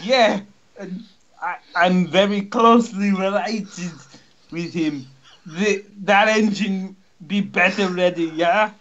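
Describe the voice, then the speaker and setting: A man's voice: high-pitched, slow pace, a bit bright, lo-fi sound. A man voicing an impatient male racing team boss in a jumbled mock European accent, in broken English that swears and stumbles. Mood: blustering. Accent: mixed mock European.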